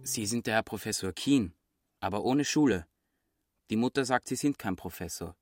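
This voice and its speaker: A man playing an eager, quick-witted nine-year-old boy in a radio play, answering in short, earnest phrases. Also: on the low side, lively intonation, no room sound, studio quality. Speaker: neighbour's son. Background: music.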